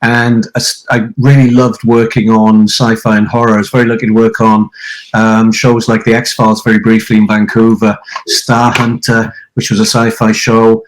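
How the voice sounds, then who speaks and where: A man's voice: fairly low pitch, monotone, moderate pace, low-quality recording. A man from Wales whose first language is Welsh, chatting at length as an interviewed author and producer, rambling with many fillers. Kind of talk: casual interview talk.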